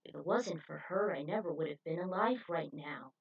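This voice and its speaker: Woman doing a proud dad, former teen hero, warm, from a fanfic story. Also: sits low, normal speed, vocal fry, slightly rough, far from the mic, highs cut off, pitched below the performer's own voice, full character voice. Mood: earnest, grateful.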